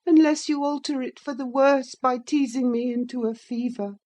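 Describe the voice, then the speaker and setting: A woman's voice: a little high, slow pace, a little mellow, voice pushed up. A woman voicing a sickly, spiteful, self-pitying boy with a whining, pettish manner in a storybook reading. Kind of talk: dramatised classic novel reading.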